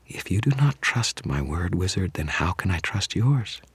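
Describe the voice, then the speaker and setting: Raspy hissing lady vampire, sultry and monstrous, half whispered, pitched up high, as a male reader puts her on. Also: very animated, normal speed, dry recording, exaggerated voice. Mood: grudging. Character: snarling female vampire, monstrous yet sultry.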